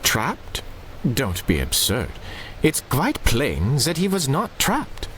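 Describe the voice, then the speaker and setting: Fussy, courtly ship's doctor, very lively pitch. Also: male voice, takes it slowly, slight background noise, exaggerated voice. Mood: dismissive. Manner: formal, courtly, hesitant. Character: fussy, courtly German ship's doctor, anxious about scandal. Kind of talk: 1930s radio drama acting.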